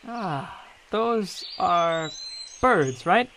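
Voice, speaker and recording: Earnest idealistic spaceman played by a man, audio drama; lightly high male voice, faint background noise. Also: expressive, drawn-out words, exaggerated voice. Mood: wondering. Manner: earnest, wondering.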